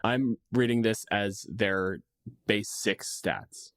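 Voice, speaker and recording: A man's voice: fairly low pitch, slow, fairly bright tone, no room sound, studio quality. A man co-hosting a podcast in casual discussion of tabletop games, opinionated and freely swearing. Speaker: podcast co-host.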